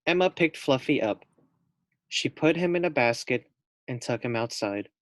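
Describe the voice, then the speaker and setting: A man's voice: medium pitch, expressive, no echo, clean studio sound. A man reading a children's storybook aloud as a teacher, speaking simply and stepping out for casual asides to young listeners. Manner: slow, simple phrasing, addresses children directly.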